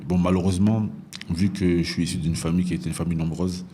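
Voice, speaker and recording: A man's voice: deep voice, fairly even intonation, fast talker, mellow, slight background noise. A man in his thirties from northern France telling his own story as a podcast guest, hesitating and repeating phrases as he explains. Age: 30s. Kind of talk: personal storytelling in an interview.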